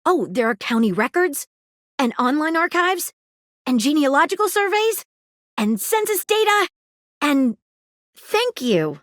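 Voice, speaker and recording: An eager, nosy young detective. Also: female voice, high-pitched, big pitch swings, measured pace, long pauses, a bit bright, dry recording, studio quality, full character voice. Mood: chatty, curious. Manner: earnest, inquisitive, fact-loving. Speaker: voice actor. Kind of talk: video game voice lines. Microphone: studio mic, dry booth.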